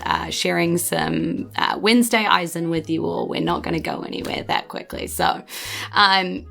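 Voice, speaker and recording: A woman's voice: fairly low pitch, vocal fry, fairly bright tone. A woman with a New Zealand accent hosting a tabletop podcast as game master, chatty and upbeat. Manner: chatty, upbeat, thanks listeners at length. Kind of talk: casual podcast chat and narration.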